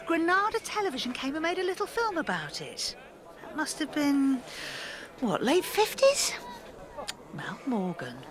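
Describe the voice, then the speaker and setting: An actress playing a kindly, chatty older British village woman who muses nostalgically, in a naturalistic radio drama. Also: fairly high pitch. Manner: chatty, musing. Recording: busy crowd and livestock market ambience.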